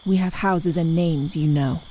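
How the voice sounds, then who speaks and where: A woman's voice: deep voice, fairly even intonation, a little slow, warm tone, a little hiss or hum. A woman acting a shy, self-doubting teenage girl in a period audio drama. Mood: worried, indignant. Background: crickets and night insects.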